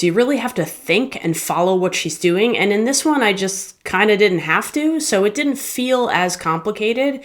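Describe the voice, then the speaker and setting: A woman hosting a channel, giving a candid, conversational review of an audiobook. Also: mid-range voice. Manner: candid, conversational, measured opinions. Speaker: book reviewer. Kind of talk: casual spoken book review.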